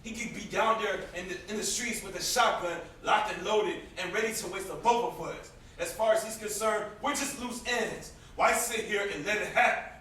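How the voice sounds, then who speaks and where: In a very echoey, distant stage recording, a young man plays a hot-headed brother bent on revenge. He is insistent and goading, with a high, bright voice that carries his grief and anger. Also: normal speed, hardly any pauses, slight background noise. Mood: grimly persuasive. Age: young adult. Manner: insistent, confrontational. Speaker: student stage actor. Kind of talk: stage play acting.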